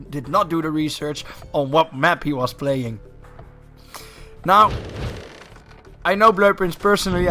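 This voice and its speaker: Guy doing live game commentary, bright forceful voice, kinda high, hypes things up. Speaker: esports tournament commentator and host.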